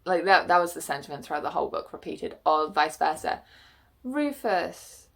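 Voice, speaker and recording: Croaky, gravelly New woman vlogger. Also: low voice, lively intonation, moderate pace. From New Zealand. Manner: chatty, frank, lots of fillers. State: croaky voice, unwell. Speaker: book vlogger. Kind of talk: casual chat to camera.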